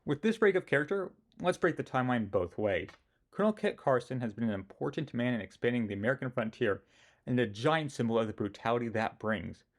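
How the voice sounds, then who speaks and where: A man's voice: medium pitch, expressive, a little fast. A man narrating a scripted weekly history series, giving his own opinions and joking about his pronunciation. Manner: opinionated, with self-mocking asides.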